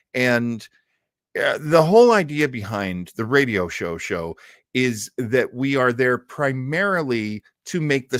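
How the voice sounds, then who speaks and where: A man's voice: lowish voice, a bit bright, dry recording, clean studio sound. A man hosting an old-time radio show, chatting casually and enthusiastically to his audience in a rambling, conversational way. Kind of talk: casual chat to a live audience.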